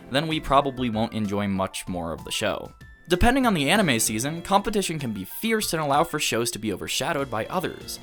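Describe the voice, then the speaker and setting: A man's voice: mid-range voice, a bit bright. A man in his early twenties narrating a scripted video essay as an opinionated, enthusiastic fan. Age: early 20s.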